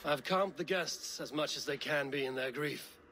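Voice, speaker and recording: A man's voice: a little high, moderate pace, natural voice. Earnest Viking warrior played by a man, noisy surroundings. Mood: gentle, consoling. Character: steady, earnest Viking warrior, loyal counsellor. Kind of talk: dramatic video game acting.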